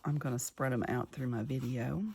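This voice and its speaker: A middle-aged woman chatting casually while crafting, her voice a little gravelly from just waking up, rambling warmly from one story to the next. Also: a bass voice, fairly even intonation, normal speed, a little hiss or hum. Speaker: craft vlogger.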